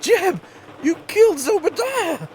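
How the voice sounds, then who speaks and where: A man's voice: extremely high pitch, very animated, unhurried, darkish tone, a little hiss or hum, exaggerated voice. A man acting in a comedy horror audio drama as a meek, hesitant younger brother. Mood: worried. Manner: hesitant, submissive. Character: meek, slow-witted hillbilly younger brother, reluctant sidekick.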